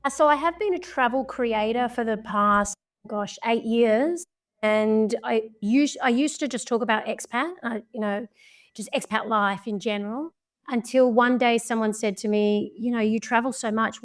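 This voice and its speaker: An Australian woman telling travel stories as a podcast guest, chatty and quick to laugh. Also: on the high side. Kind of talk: casual storytelling chat.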